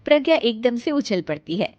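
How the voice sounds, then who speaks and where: A woman's voice: on the high side, big pitch swings, moderate pace, darkish tone, dry recording, highs cut off. A woman reading a scripted narration for a cartoon family story.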